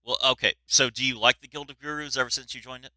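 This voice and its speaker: Very bright, dry-sounding male interviewer. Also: mid-range voice, fairly even intonation, fast talker, no room sound, rough recording. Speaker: interviewer, host. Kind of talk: casual chat.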